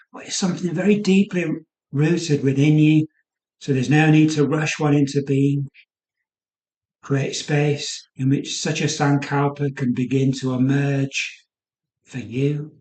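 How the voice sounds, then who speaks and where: Male meditation guide, slightly higher-pitched voice, soothing and very unhurried delivery, roomy sound. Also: a little mellow. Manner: soothing, unhurried instruction with trailing pauses. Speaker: yoga nidra guide.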